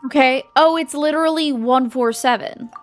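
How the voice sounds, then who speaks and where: High-voiced woman commenting casually on a game. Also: normal speed. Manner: thinking aloud, informal. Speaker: game streamer. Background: faint game music.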